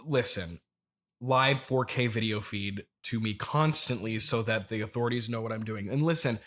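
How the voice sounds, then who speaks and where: A man's voice: lowish voice, moderate pace, a bit bright, natural voice. A man playing an agitated, obsessive turnip farmer in an improvised comedy interview, stammering and indignant. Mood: defensive, evasive.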